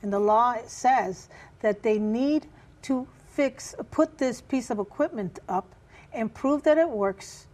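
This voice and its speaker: A woman with a raspy voice, chair of a community group, answering interview questions earnestly with many pauses and fillers. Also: on the high side, darkish tone. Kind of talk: news interview guest.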